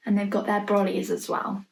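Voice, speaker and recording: A woman reading a picture book aloud for young children, playfully expressive, adding chatty asides and questions about the pictures, in a noisy home recording. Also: mid-range voice, gravelly. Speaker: storybook reader for young children. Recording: noticeable background noise, home online recording.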